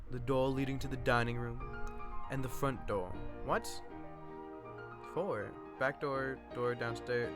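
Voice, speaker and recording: A man reading a play's stage directions aloud as an amateur, in an informal way, breaking into his own asides. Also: medium pitch. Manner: self-interrupting, joking asides. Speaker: amateur play reader.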